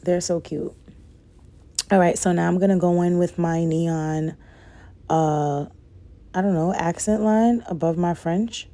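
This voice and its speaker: A young woman, a little raspy from a cold, chatting loosely through a nail tutorial and saying "like" and "guys" a lot. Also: lowish voice, steady tone, a little slow, long pauses, warm tone. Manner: rambling, says "like" and "guys" a lot. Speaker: nail vlogger. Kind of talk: casual chatty tutorial voice-over.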